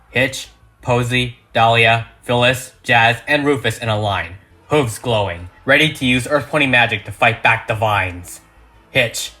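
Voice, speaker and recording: A man's voice: medium pitch, pushed and bright. A man reading a fan audio drama script as a narrator, with emphatic, theatrical delivery.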